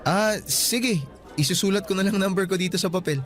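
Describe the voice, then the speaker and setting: An easygoing young college guy, played by a man in a radio drama, his voice sitting high. Soft music hums beneath him. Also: fairly quick, natural voice. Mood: friendly. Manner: easygoing and friendly. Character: friendly young male rich student. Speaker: voice actor.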